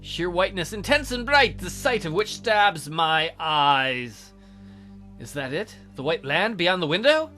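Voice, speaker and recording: A man's voice: high-pitched, expressive, a little slow, bright, forceful tone, soft background music. A man reading a visual novel aloud theatrically as a let's play host, with casual, jokey asides.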